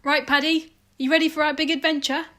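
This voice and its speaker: A woman with an English accent playing a brisk, casual female private detective in a student radio play. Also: sits high, little pitch movement, bright, forceful tone. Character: breezy female private detective, the boy's aunt.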